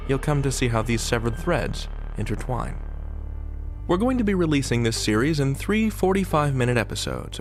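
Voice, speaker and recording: A man hosting a podcast, giving a deliberate, scripted introduction to an audio drama. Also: fairly high pitch. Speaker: podcast host.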